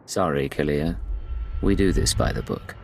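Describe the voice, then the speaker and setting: A man's voice: rumbling low, animated, normal speed, creaky, darkish tone, slight background noise, full character voice. An actor playing a cool, hard-edged male imperial secret agent in a video game. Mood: cool, decisive.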